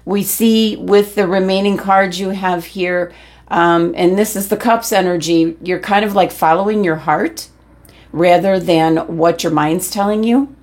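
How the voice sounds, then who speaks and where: A woman giving a weekly tarot reading, losing her voice, with a gentle, meandering delivery full of pauses and ums. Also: on the low side, steady tone. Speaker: tarot reader.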